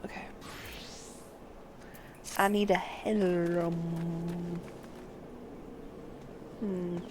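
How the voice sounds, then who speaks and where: A woman's voice: on the low side, level tone, very slow. Woman game streamer, takes her time, mutters to herself, bit of noise behind her. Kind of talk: gameplay walkthrough, reading quest text aloud.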